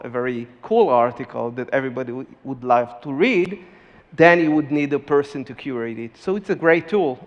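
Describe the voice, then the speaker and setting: Halting middle-aged man in a hall. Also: medium pitch. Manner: halting, repeats words as he restarts phrases. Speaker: startup CEO panelist. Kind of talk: conference panel discussion. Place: large conference stage. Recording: reverberant hall, dull lo-fi sound.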